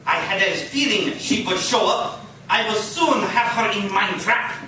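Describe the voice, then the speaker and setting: A man's voice: high voice, lively intonation, measured pace, pushed and bright, full character voice. A man playing a cruel, gloating Nazi saboteur in a 1940s radio serial. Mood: gloating menace. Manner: menacing, gloating. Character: burly cruel gloating Nazi saboteur leader, comic-book villain. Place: live performance in a coffee house. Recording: echoey live room from far off, faint noise.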